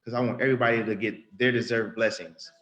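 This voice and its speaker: A man's voice: on the low side, steady tone, fast talker, fairly bright tone, lo-fi sound. A man around thirty giving an earnest, rambling spoken testimony in church, often restarting and repeating himself. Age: about 30.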